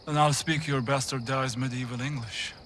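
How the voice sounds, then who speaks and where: An actor playing a menacing, coldly superior captive in a video game, speaking with disdain. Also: male voice, mid-range voice, fairly even intonation, noisy background, lightly acted. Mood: scornful. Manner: slow, disdainful. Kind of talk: video game cutscene acting.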